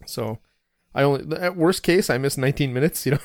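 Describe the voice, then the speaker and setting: A man's voice: mid-range voice, a little fast, no room sound. Man chatting with restarts, dry sound. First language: not English. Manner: relaxed, rambling, with frequent restarts. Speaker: podcast co-host. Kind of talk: casual chat.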